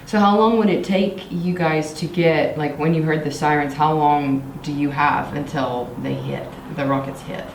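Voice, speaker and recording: A woman's voice: low-pitched, fairly even intonation, normal speed, few pauses, slight background noise. Woman host in an echoey shelter. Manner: interested, short reactions. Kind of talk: casual interview. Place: bomb shelter in an apartment building. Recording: echoey concrete room.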